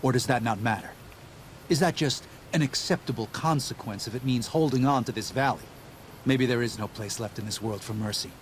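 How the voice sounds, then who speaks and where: An actor playing an earnest, weary pacifist missionary in a video game, moralising in a pleading manner. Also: male voice, medium pitch, fairly quick, slight background noise, close to an everyday voice. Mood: grim, resolute.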